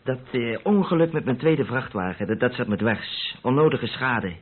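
An actor playing a defensive, flustered haulage boss in a radio drama, halting and stammering as he justifies himself. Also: medium pitch, lively intonation, slight background noise, lightly acted. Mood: defensive, agitated. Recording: muffled narrow-band old radio recording.

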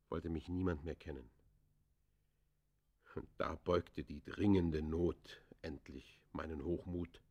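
Deep-voiced, embittered outcast poacher. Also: male voice. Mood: dejected. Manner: formal, declamatory, dramatic swells and hushed falls. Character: embittered, disfigured outcast poacher confessing his life. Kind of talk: German radio play acting, literary monologue.

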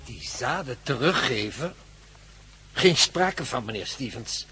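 A man's voice: medium pitch, lively intonation, takes it slowly, over music. A man playing an obsessed, self-important professor of archaeology in a radio drama, pompous and emphatic. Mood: indignant, proud. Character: obsessed, self-important male archaeology professor, cold-hearted about science.

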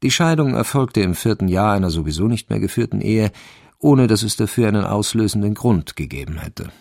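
A man narrating a radio crime drama in a measured, sober, literary way. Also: lowish voice, lively intonation, creaky, darkish tone. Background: music.

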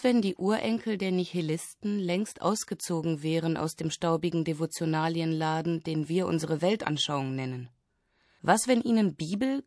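A woman's voice: lowish voice, darkish tone, no echo, studio quality. A woman doing a scripted literary reading for radio. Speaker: reader of excerpts and summaries.

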